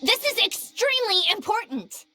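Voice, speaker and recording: A woman voicing an excitable, obsessive young researcher in a video game cutscene, thinking aloud in theatrical bursts. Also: extremely high pitch, moderate pace, faint music behind, exaggerated voice. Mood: urgent, excited. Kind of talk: animated game cutscene voice acting.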